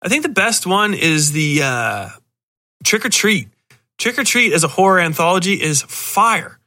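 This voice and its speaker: A man's voice: high-pitched, big pitch swings, unhurried, sharp tone. A man hosting a podcast, giving a casual, rambling film review in a blunt, profane, sardonic way with exasperated asides. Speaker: podcast host reviewing horror films. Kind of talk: casual spoken movie review.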